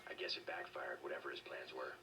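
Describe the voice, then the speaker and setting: A man playing a stoic young cyborg government agent in a video game, with deadpan, clipped delivery. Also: extremely low pitch, brisk. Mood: flat resolve. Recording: noisy, distant-sounding game capture.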